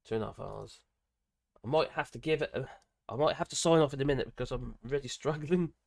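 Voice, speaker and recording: An older man with grandchildren, hosting a casual live stream, hoarse from a cold and chatting in a rambling way with many fillers and restarts. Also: mid-range voice, quick pace. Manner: rambling, chatty, with frequent fillers and self-corrections.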